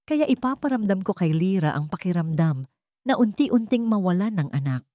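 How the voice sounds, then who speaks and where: A woman voicing a grieving, vengeful young mother who poses as a gentle teacher, in a Filipino-accented audio drama. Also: medium pitch, expressive, normal speed, dry recording, studio quality, natural voice. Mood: bitter, determined. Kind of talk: dramatic audio story acting.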